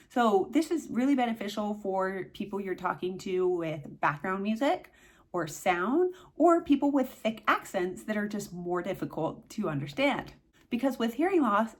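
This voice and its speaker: Female video host, fairly high voice, upbeat and emphatic, scripted explainer delivery. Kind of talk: scripted explainer to camera, friendly direct address.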